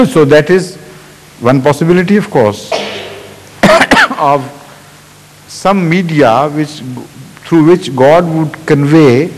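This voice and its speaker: A middle-aged man, seated at a stand mic in a large hall, answering a question with slow, thoughtful explanation and frequent pauses. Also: fairly high pitch, a little mellow. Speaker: religious leader answering questions. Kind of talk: spontaneous question-and-answer talk. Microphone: stand mic in front of chair. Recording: long hall reverb, old 1980s video.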